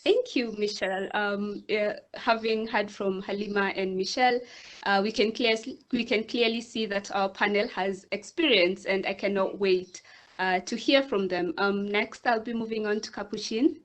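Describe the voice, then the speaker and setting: Kenyan woman, online panel moderator, mid pitch, measured and friendly. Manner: friendly, measured introductions with fillers. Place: video call.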